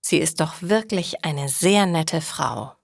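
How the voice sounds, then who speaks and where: A woman's voice: deep voice, slow, creaky, no echo, clean studio sound. A woman narrating scripted stories for language learners, with careful, didactic enunciation.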